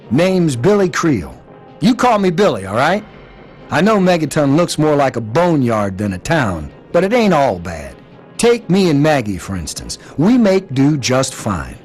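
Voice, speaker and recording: A man's voice: on the high side, huge pitch swings, a little slow, faint music behind. An actor playing a folksy wasteland trader and protective father figure in a video game, with an American accent and a drawling manner. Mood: hearty, welcoming.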